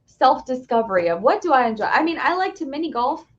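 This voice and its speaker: A woman's voice: high-pitched, very animated, fast. Earnest woman explaining with a dull, lo-fi sound. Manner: earnest, reassuring, explains plainly. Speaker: health insurance agent, podcast guest. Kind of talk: casual interview chat.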